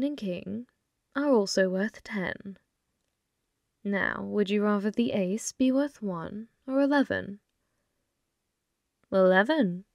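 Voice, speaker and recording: Slow, flirty woman as a possessive demoness. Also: medium pitch, creaky, no room sound, studio quality. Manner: teasing, seductive, unhurried, drops into whispers. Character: flirty, possessive, taunting female demon bartender. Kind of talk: ASMR roleplay, intimate and slow.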